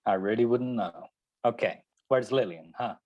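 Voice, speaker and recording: A man's voice: medium pitch, moderate pace, dull audio, lightly acted. A man playing a hard-boiled private detective in a noir radio play, sardonic and world-weary. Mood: dry, needling. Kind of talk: 1940s noir radio narration and dialogue.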